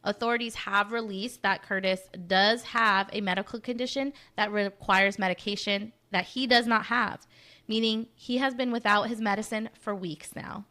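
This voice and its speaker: A woman's voice: medium pitch, steady tone, moderate pace, pushed and bright. A woman co-hosting a live broadcast segment on missing persons, agreeable and reading reports steadily. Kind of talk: casual live show chat.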